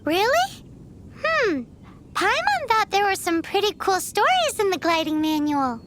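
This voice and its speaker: A woman's voice: very high voice, very animated, measured pace, noisy background, heavily acted. A woman voicing a tiny, chirpy, cheeky girl companion in a game dub who whines and talks about herself in the third person. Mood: musing. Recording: game audio with music behind.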